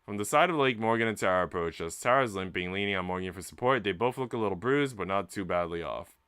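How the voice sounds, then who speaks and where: Bright, clear, low-voiced male streamer. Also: lively intonation, fast talker, no room sound, clean studio sound. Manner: informal, slangy when chatting. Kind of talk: reading a visual novel aloud with casual chat.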